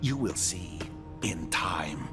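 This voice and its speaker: An actor playing a haughty, menacing supervillain in a video game, ominous and contemptuous. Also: male voice, low-pitched, sing-song, takes it slowly, loud music behind the voice, heavily acted. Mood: menacing scorn. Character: menacing, haughty male supervillain under another's command.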